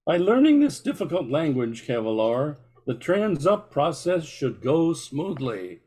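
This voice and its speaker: Affable captain played by a man, somewhat high male voice, welcoming and formal, for amateur radio drama. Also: big pitch swings, a little slow, dark tone, slightly rough, exaggerated voice, poor audio. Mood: genial. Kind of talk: amateur radio play acting.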